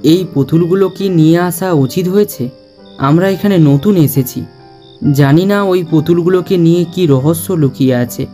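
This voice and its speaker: Male actor for a young, curious, scared horror-cartoon narrator; mellow, dramatic, heavy reverb. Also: a little high, normal speed, lightly acted. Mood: worried, questioning. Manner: suspenseful, dramatic delivery. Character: young man, frightened, curious narrator of a ghost story. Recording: long reverb, music underneath.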